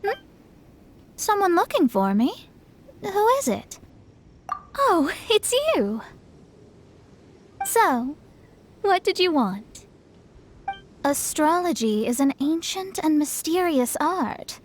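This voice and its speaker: A woman's voice: extremely high pitch, sing-song, slow pace, long pauses, a little hiss or hum, full character voice. An actress playing a proud, haughty young woman astrologist, self-assured and dismissive, in an anime game. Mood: curious.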